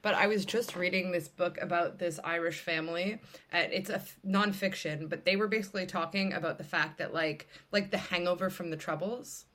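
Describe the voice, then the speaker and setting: A woman with a fairly low voice talks as the host of a podcast. Her delivery is casual and conversational, frank and informal, with plenty of emphasis on her points. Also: fairly even intonation, fairly quick, hardly any pauses, fairly bright tone.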